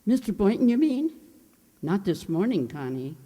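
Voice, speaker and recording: A woman playing a scatterbrained, kindly, nosy older landlady in a comedic old-time radio show, dithery and unhurried. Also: medium pitch, very animated, dark tone, slightly rough. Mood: chatty. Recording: hall-like, long reverb.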